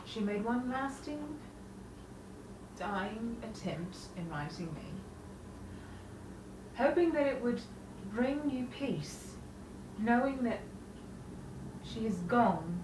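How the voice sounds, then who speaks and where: An actress playing a solemn, burdened sister bearing tragic news, with a grave, measured theatrical delivery. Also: female voice, on the high side, expressive. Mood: grave, sorrowful. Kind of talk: 1950s-style romantic drama acting. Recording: roomy, distant, clearly noisy stage sound.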